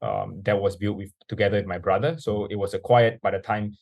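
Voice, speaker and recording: Earnest man, low dry voice. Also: little pitch movement, a little fast, gravelly, a little mellow, no room sound. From Singapore. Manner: earnest, filler-heavy, frequent "you know". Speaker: guest, startup founder. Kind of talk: podcast interview storytelling.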